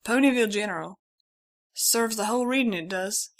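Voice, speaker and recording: A woman's voice: on the high side, a little fast, natural voice. A woman voicing a kindly, pushy middle-aged male pony with a Southwestern American twang and folksy country talk. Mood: hearty, insistent. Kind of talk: audiobook character voice.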